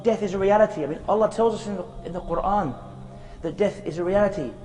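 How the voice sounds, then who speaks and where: A man's voice: high-pitched, fast, darkish tone, in a large hall. A man giving an earnest religious reminder while standing in a graveyard, urging listeners and reciting Arabic verses in a chanting tone. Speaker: Islamic preacher. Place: outdoors in a graveyard.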